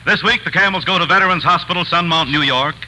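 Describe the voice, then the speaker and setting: A man's voice: on the high side, fast, pushed and bright, a little hiss or hum, low-quality recording. An American man announcing from a script in the style of 1940s network radio. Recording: vintage 1940s radio broadcast. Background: music.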